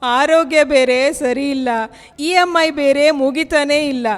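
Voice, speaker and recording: A high woman's voice with a long echoing tail , acting in an audio drama. She is a ruined homeowner who wails and laments in despair. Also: fairly even intonation, moderate pace, close to an everyday voice. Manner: lamenting, exclaiming. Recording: long reverberant hall echo.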